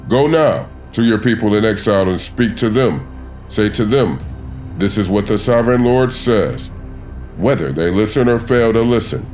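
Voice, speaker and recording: A man's voice: lowish voice, expressive, normal speed, a little mellow, soft background music, pitched above the performer's own voice, natural voice. A man voicing God in a dramatized scripture reading, stern, solemn and commanding, with emphatic, declamatory delivery. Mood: stern, urging.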